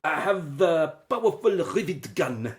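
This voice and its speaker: A woman's voice: low-pitched, big pitch swings, a little slow. A woman playing a reluctant, sulky and timid underling in a radio drama, grudging and defensive. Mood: reluctant, nervous. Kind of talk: audio drama acting.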